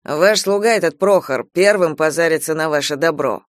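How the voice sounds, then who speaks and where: A man voicing a gloomy, alarmist gentleman adviser in an audiobook, speaking emphatically and persuasively. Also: on the high side, normal speed, dry recording, studio quality, natural voice. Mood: ominous warning.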